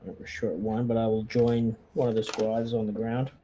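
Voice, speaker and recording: A man's voice: lowish voice, little pitch movement, normal speed, warm tone, slight background noise, rough recording. A man talking casually as a player who leads the squad in a tabletop roleplaying game.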